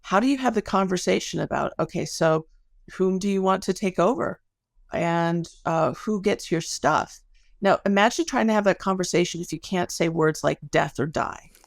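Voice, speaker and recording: Woman, gravelly vocal fry, close dry sound, academic interview guest, conversational. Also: fairly low pitch, moderate pace, no echo. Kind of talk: conversational interview, explaining research.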